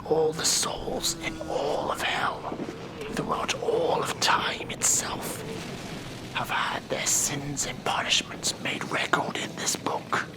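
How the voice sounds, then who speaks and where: A man's voice: a little high, fairly even intonation. A man voicing a stern, impatient demon judge of Hell in a whispered horror ASMR role-play, scolding and commanding. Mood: exasperated, instructing. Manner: scolding, weary, deliberate, commanding.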